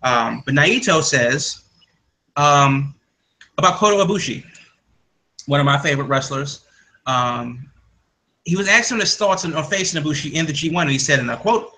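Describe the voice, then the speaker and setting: A man's voice: on the high side, animated, normal speed, long pauses, pushed and bright, poor audio. A man hosting a casual podcast, rambling through news with many fillers and restarts. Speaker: podcast host. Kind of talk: casual wrestling news chat.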